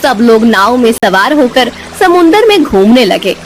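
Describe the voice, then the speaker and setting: Lively, high-voiced woman storyteller. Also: measured pace, slight background noise. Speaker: story narrator. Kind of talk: scripted children's fairy tale narration.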